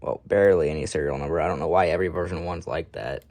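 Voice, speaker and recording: A man's voice: a bass voice, quick pace, a little mellow, dry recording. A man giving a casual, unscripted show-and-tell as a hobby collector, talking in an offhand, matter-of-fact way with rambling asides.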